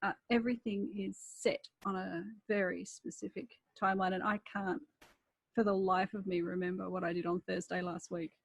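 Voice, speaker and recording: A woman's voice: mid-range voice, level tone, moderate pace, creaky, dry recording, low-quality recording. A woman telling anecdotes in casual, candid chat, wry and self-deprecating. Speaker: writer in conversation.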